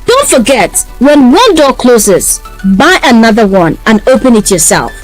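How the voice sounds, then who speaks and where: A woman's voice: fairly high pitch, big pitch swings, unhurried. A woman with a Nigerian accent acting as interviewer in a scripted, polished and enthusiastic radio promotion. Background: music.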